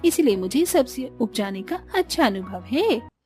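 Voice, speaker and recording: A woman's voice: fairly high pitch, big pitch swings, measured pace, a little mellow, faint music behind. A woman voicing a hard-pressed, practical young village daughter-in-law in a cartoon story, earnest and complaining.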